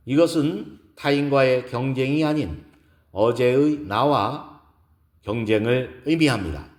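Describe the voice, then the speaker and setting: A man's voice: mid-range voice, darkish tone, reverberant, voice pushed up. A man voicing a poised woman executive who gives an earnest, formal lecture in a scripted audio drama. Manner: formal, deliberate, rhetorical.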